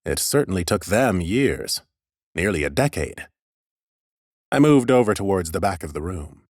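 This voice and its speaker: A man reading expressive first-person scripted narration as an audiobook narrator. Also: sits low, normal speed, dry recording, studio quality.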